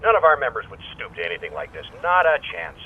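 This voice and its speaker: Indignant tycoon, tinny phone. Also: male voice, lowish voice, sing-song, fast. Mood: indignant, insistent. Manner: emphatic, dismissive. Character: indignant, insistent male restaurant tycoon on the telephone. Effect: telephone filter. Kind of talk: radio detective drama acting. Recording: tinny phone line, faint noise.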